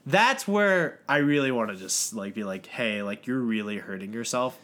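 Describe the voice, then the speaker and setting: A man's voice: medium pitch, lively intonation, normal speed, a bit bright. A man hosting a casual fitness podcast, earnestly explaining lifting technique with lots of fillers. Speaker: podcast host.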